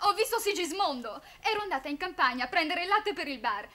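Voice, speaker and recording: An eager young bar girl, with a very high, bright voice and lively swings of pitch. She sounds earnest and emphatic, in 1960s TV drama style. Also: fast talker, heavily acted. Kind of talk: 1960s Italian TV drama acting.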